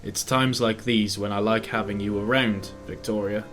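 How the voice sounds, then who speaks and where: A man's voice: fairly low pitch, fairly even intonation, moderate pace, a bit bright, soft background music, natural voice. A man voicing an irritable, proud railway owner in an audio drama, blustering and exasperated. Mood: dejected.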